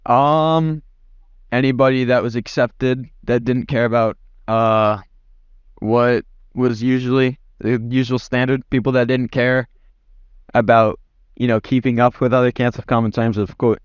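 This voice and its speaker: A man's voice: mid-range voice, fairly even intonation, measured pace, smooth, no room sound, muffled recording. A man giving casual interview answers in a blunt, offhand way, swearing freely. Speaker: interview guest, former commander in an online roleplay community.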